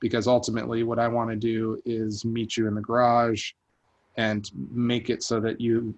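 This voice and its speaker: A vacation rental host on a group call, telling a story at a measured pace with frequent restarts. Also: male voice, medium pitch, completely flat delivery. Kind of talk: casual group call chat. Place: online group video call.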